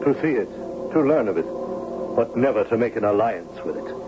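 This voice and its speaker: A man's voice: fairly low pitch, animated, a little fast, noisy background, lightly acted. An actor playing a stern, ageless merman king in a radio drama, speaking in formal, imperious pronouncements. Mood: stern, menacing. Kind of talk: 1970s radio drama acting. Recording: muffled 1970s radio recording, music bed.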